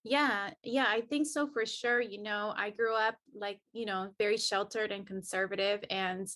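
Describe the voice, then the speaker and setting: A woman's voice: medium pitch, fairly even intonation, normal speed, fairly bright tone. Earnest woman telling her story. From El Salvador. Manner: earnest and reflective, many restarts. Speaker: podcast guest. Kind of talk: personal storytelling in an interview.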